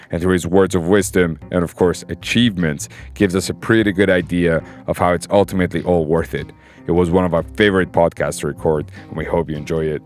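A man hosting a podcast, giving a polished, scripted introduction of his guest. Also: deep voice. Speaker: podcast host.

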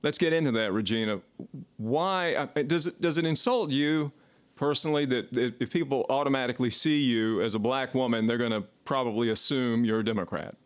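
A male podcast host guides the talk with relaxed, conversational questions. His voice is smooth and resonant. He speaks at an even middle pitch. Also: fairly quick. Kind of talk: interviewing.

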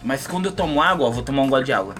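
Fast-talking man in his thirties. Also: medium pitch, animated, a bit bright, faint music behind. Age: 30s. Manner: joking, rambling storyteller. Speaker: podcast guest. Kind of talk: casual podcast banter.

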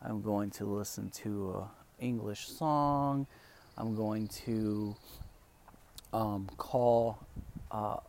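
A man whose first language is Spanish, coaching English learners in a calm, relaxed way with many fillers. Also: mid-range voice, vocal fry. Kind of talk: relaxed teaching monologue.

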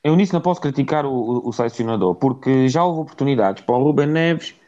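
A man's voice: medium pitch, animated, fast talker, warm tone, muffled recording. A man with a European Portuguese accent giving blunt, opinionated views on football in a casual chat. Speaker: football pundit.

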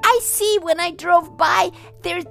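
Lady doing a little boy, eager and earnest, high and slow. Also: fairly even intonation, vocal fry, a bit bright, soft background music, exaggerated voice. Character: eager young boy, the son.

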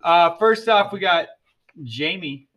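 A man's voice: sits high, animated, slow pace, fairly bright tone, highs cut off. A man hosting a podcast with his ESL class, joking and teasing students in casual chat.

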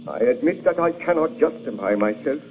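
An actor playing a remorseful, driven young scientist in a vintage radio drama, earnest and pleading. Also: male voice, medium pitch, expressive, a little fast, slight background noise, natural voice. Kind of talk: 1940s radio acting. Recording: muffled old radio recording.

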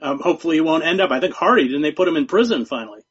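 A man speaking as a guest journalist in an interview, talking in a measured, emphatic way and often repeating words. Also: on the high side, animated, fairly bright tone, husky. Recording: dull lo-fi sound.

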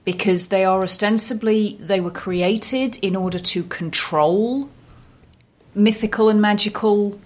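A woman's voice: medium pitch, fairly even intonation, unhurried. A woman discussing films casually as a podcast panellist, in a thoughtful, analytical manner.

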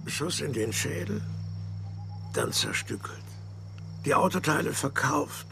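A callous criminal in a TV drama, with a creaky, mid-pitched voice. He threatens bluntly and coolly, with animated inflection. A noisy hum sits behind him. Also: takes it slowly, full character voice. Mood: coolly menacing. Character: callous, matter-of-fact male criminal car thief. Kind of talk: dubbed TV series dialogue.

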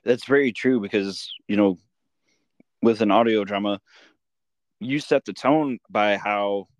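A man's voice: lowish voice, unhurried, no echo. A man hosting a podcast, chatting casually in an interview. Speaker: podcast host and comic maker.

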